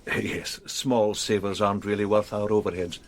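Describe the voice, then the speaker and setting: An actor playing a prim, talkative bank manager in a radio drama, explaining things in a measured, businesslike way. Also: male voice, lowish voice, flat delivery, slight background noise, lightly acted. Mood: earnest, explanatory.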